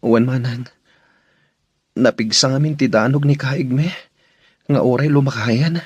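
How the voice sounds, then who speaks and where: A man's voice: medium pitch, unhurried, a little mellow, breathy edge, no echo. A man acting in a radio drama as a subdued, deferential but determined young recruit. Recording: dull, dry, muffled audio.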